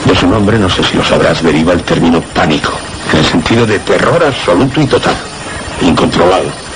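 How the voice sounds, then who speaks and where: An actor playing an erudite, grave scholar in a Spanish radio suspense drama, speaking Castilian Spanish in a measured, didactic way. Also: male voice, sits low, animated, background noise. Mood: grave, ominous. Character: erudite male scholar friend, grave and explanatory.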